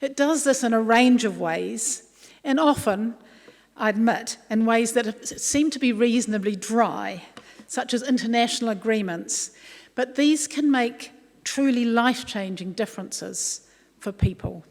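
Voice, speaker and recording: A woman giving a formal prepared speech in a deliberate, earnest way. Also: on the high side, a little mellow. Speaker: commission chairperson, guest speaker. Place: large indoor hall, public address. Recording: echoey hall, long reverb.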